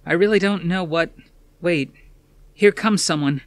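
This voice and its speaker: A man's voice: high voice, measured pace, no echo, pitched above the performer's own voice. A man voicing an urgent, breathless female TV news reporter in a dramatic audiobook reading. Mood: uneasy, probing. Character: panicked female TV news reporter amid an attack.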